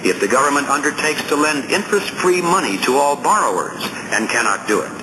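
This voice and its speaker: A man reading nonfiction as an audiobook narrator, in a formal, measured and emphatic way with deliberate phrasing. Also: fairly high pitch, sing-song, noisy background.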